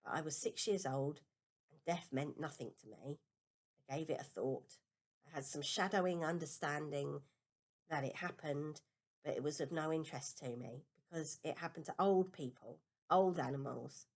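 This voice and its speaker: A woman reading a children's novel aloud as a first-person story, with expressive, deliberate emphasis. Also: low voice. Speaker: amateur audiobook reader.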